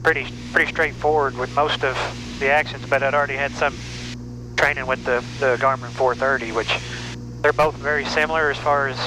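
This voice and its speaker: A man's voice: mid-range voice, little pitch movement, a little fast. An American man, a student pilot, chatting casually over a headset intercom from the back of a small plane. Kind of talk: casual chat. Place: back seat of small airplane. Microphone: aviation headset mic. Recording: loud aircraft hum, headset intercom.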